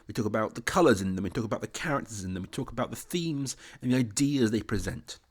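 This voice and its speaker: A man's voice: lowish voice, expressive, quick pace, breathy edge. A man reading an informal, scripted promo as a podcast host.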